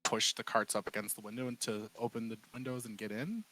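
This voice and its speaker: A man's voice: lowish voice, little pitch movement, fast talker, bright, forceful tone, low-quality recording. A man playing a tabletop role-playing game, casually describing a character's actions and thinking aloud.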